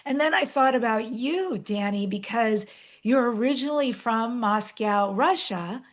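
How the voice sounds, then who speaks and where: Expressive woman hosting a conversational interview. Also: a little high, unhurried. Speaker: interview host. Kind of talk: conversational interviewing with personal anecdotes.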